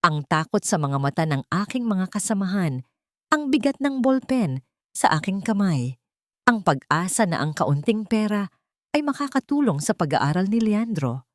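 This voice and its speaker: A woman reading a scripted first-person dramatic story in a measured, solemn manner. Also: medium pitch, sing-song, dry recording, clean studio sound. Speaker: narrator of an audio story.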